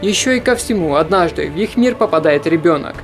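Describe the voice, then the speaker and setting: A man reading a scripted voice-over about animated films in an even, informative manner. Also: fairly high pitch, fairly quick. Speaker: film review narrator. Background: soft background music.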